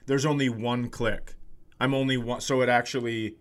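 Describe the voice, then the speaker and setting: A man's voice: mid-range voice, flat delivery, normal speed. Casual middle-aged man telling comic stories. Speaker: comedian and podcast host. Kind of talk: casual comedic podcast chat.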